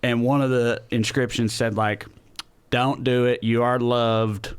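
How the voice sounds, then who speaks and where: A man's voice: mid-range voice, flat delivery, unhurried, clear, resonant tone. A man in casual comedic podcast chat, telling things in an informal, rambling, profane way. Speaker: podcast host.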